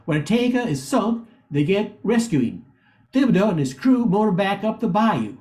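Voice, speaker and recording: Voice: mid-range voice, very animated, normal speed, darkish tone. An older amateur narrator reading a scripted radio play, occasionally stumbling and repeating words.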